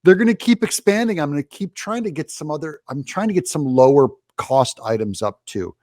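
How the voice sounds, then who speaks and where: A man's voice: fairly high pitch, animated, a little fast, a little mellow, dry recording, clean studio sound. A man hosting political commentary, opinionated and sarcastic, reacting emphatically to clips. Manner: sarcastic, emphatic, mocking voices.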